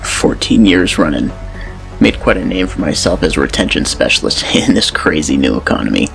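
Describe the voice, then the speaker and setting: A man playing a cold, calm corporate enforcer in an audio drama, making slow, measured threats with dark relish. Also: deep voice, creaky, soft background music, heavily acted. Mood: menacing.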